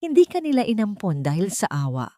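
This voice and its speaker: A woman's voice: mid-range voice, huge pitch swings, brisk, warm tone, no echo. Woman voicing an earnest, protective man in a drama. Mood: angry, intense. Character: protective, intense young man, devoted and vengeful. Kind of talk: dramatic audiobook storytelling.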